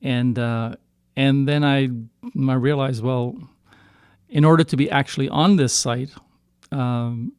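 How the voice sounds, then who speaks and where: Clear-voiced middle-aged Canadian man, halting storyteller. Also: medium pitch, a little mellow, no room sound, studio quality. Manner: halting, circling back to rephrase. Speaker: singer-songwriter guest. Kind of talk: casual storytelling in a podcast interview.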